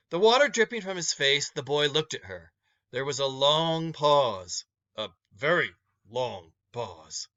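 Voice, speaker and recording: A man narrating a short story aloud expressively, with deliberate emphasis and dramatic pauses. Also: fairly high pitch, pushed and bright, no echo, dull audio.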